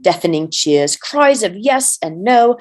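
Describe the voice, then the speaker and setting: A woman's voice: medium pitch, big pitch swings, measured pace, a little mellow. Woman reading a fantasy story aloud. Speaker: volunteer reader.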